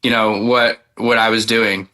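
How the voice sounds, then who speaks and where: Bright-voiced guy, loose casual interview guest. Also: on the low side, flat delivery, measured pace. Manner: loose, rambling, informal. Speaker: guest, country singer-songwriter. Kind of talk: casual interview chat telling personal stories.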